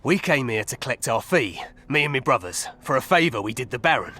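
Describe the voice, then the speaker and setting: Bright-voiced, coarse thug. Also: male voice, medium pitch, animated, a little fast. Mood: grudging. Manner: crude, blunt, colloquial. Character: coarse, foul-mouthed male hired thug, cocky and defiant. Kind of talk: video game voice acting.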